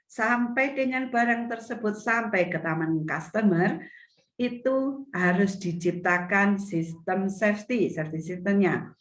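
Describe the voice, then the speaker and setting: A middle-aged woman, teaching an online seminar as a retail business mentor over a video call, her voice a little hoarse from a cough, often restating her points. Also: mid-range voice. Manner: explanatory, repeats and restates points. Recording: echoey video call audio.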